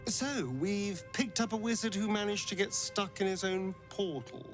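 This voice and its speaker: An actor playing a wry, haughty aristocrat in a fantasy game, arch and teasing in a theatrical way. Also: male voice, high-pitched, steady tone, normal speed, faint music behind, close to an everyday voice. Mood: dry, amused.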